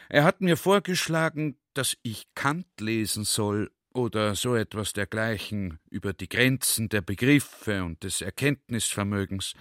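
Garrulous general, dry close sound. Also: male voice, on the low side, fairly bright tone, no room sound. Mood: pensive, worried. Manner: conversational, rhetorical, checks listener is following. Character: garrulous, earnest army general musing to a comrade. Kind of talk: radio play monologue.

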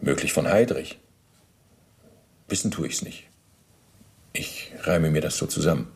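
A man's voice: extremely low pitch, expressive, unhurried, gravelly, full character voice. An actor playing an evasive, pedantic former Nazi official who answers his interrogator formally and deferentially in a German radio docudrama. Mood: hedging, detached.

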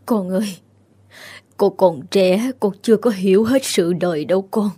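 A woman voicing a frail, grieving old peasant mother who pleads in slow, wailing tones in an audio story. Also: mid-range voice, mellow, breathy edge, close to an everyday voice. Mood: weary. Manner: wailing, slow sobbing pleas.

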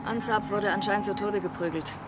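A woman's voice: medium pitch, fairly quick, very noisy, natural voice. An actress playing a curt, authoritative, no-nonsense female police detective in a German radio drama. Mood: impatient.

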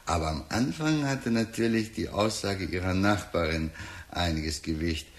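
An actor playing a talkative, sly police detective in a radio drama, deliberate and insinuating, with falsely sympathetic musing. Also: male voice, low-pitched, close to an everyday voice. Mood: insinuating, measured. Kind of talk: German radio drama acting.

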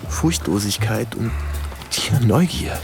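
Ein Schauspieler spielt in einem Hörspiel einen jungen amerikanischen Leutnant, der in der Ich-Form anschaulich und aufmerksam vom Krieg erzählt. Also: male voice, fairly low pitch, expressive, vocal fry, heavily acted. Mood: tense, vivid. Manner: measured, vivid storytelling. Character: observant young American lieutenant recalling the war. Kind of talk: first-person radio play narration. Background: music.

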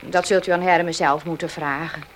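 A woman's voice: fairly low pitch, steady tone, a little fast, close to an everyday voice, low-quality recording. An actress playing a loyal, deferential but protesting female servant in a Dutch radio play. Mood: guarded. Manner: earnest, deferential, defensive. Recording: faintly hissy old radio play.

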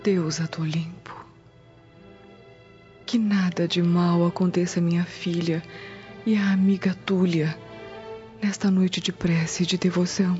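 A woman's voice: on the low side, flat delivery, measured pace, long pauses, lo-fi sound, soft background music, close to an everyday voice. An actress playing an anxious, pious Roman mother praying solemnly in a radio drama. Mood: pleading. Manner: hushed, pleading, solemn.

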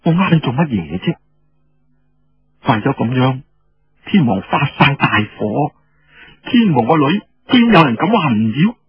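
A man's voice: a little high, sing-song, unhurried, pauses often, breathy edge, exaggerated voice. A man voicing a worldly, chiding noblewoman who consoles like an older sister, emphatic, in a Cantonese storytelling. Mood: emphatic, frank. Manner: emphatic, scolding, sighing. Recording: dull lo-fi radio sound with room echo.

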